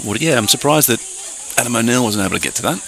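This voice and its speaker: A man's voice: mid-range voice, lively intonation, very fast, creaky, a bit bright, low-quality recording. A man co-commentating on baseball in casual banter, speaking outdoors at a ballpark. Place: outdoors at a ballpark under shade cloth. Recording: noticeable outdoor background noise.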